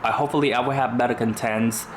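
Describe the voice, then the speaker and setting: A man's voice: medium pitch, steady tone, quick pace. A young man from Vietnam, speaking English with a Vietnamese accent, chatting casually on his vlog with lots of "you know" fillers. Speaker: YouTuber. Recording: echoey room with faint noise.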